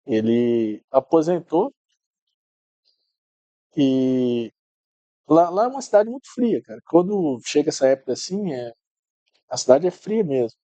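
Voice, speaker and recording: A man's voice: a little high, sing-song, unhurried, long pauses, warm tone, no echo. A middle-aged man, a podcast guest telling stories in casual chat, often restarting and repeating words. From Minas Gerais, Brazil.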